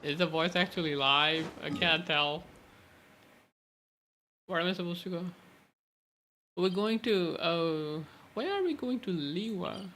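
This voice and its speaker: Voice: medium pitch, slow pace, pauses often, pushed and bright, slight background noise. A streamer giving casual gameplay commentary, rambling and thinking aloud. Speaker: video game streamer. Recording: game music behind voice.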